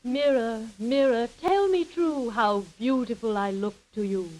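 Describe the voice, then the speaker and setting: A woman's voice: fairly high pitch, a little slow, a little mellow, natural voice, low-quality recording. An actress playing a vain, haughty wicked queen, reciting theatrically in rhyme in an old radio skit. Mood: imperious. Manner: theatrical rhyming delivery, imperious. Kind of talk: 1930s radio acting in an ad skit.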